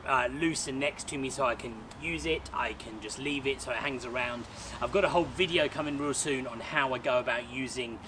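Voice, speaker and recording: A man's voice: medium pitch, a little fast. A man, chatting upbeat as he explains his gear step by step to camera in a small back garden. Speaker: sports photographer and vlogger. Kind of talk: casual gear walkthrough. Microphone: camera mic on a tripod, a few feet away. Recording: outdoor camera audio with noticeable background noise.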